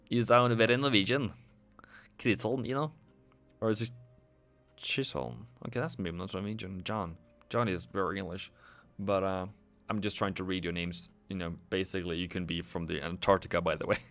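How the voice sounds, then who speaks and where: Bright-voiced man hosting a trading livestream. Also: fairly low pitch. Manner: chatty, sarcastic, jokey with viewers. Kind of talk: casual livestream chat.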